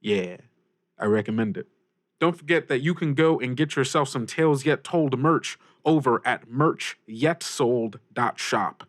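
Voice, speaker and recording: A man's voice: mid-range voice, a little slow, dry recording, clean studio sound. A man hosting a podcast, giving casual announcements to listeners in an upbeat, informal, rambling way. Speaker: podcast host and creator.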